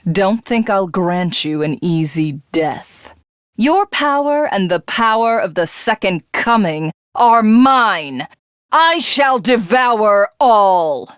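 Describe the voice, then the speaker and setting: Video game villainess: pompous would-be goddess, formal and theatrical, unhurried pace, very dry recording. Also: female voice, mid-range voice, no room sound. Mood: contemptuous, menacing. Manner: pompous, formal, grandiose, condescending. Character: haughty female alien warlord craving godhood.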